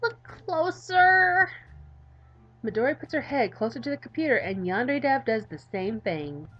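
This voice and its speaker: A woman's voice: fairly high pitch, expressive, brisk, breathy edge, highs cut off, faint music behind. A tired woman reads a visual novel aloud in a let's-play, dropping in informal, playful asides. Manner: informal, playful, self-interrupting.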